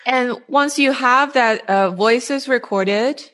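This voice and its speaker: Woman interviewer with halting, tentative phrasing. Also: on the high side, a bit bright. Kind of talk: casual interview conversation.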